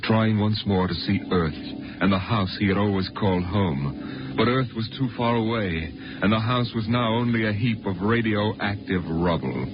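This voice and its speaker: An actor as a solemn storyteller narrating a 1950s radio drama. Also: male voice, fairly low pitch, moderate pace, natural voice. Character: solemn storyteller narrating a science fiction tale. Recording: dull 1950s radio recording, loud music.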